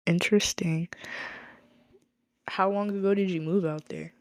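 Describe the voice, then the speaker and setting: A woman's voice: fairly low pitch, steady tone, unhurried, no room sound. An American woman hosting her podcast, chatting casually and upbeat with a guest. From United States. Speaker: podcast host. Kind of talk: casual interview chat.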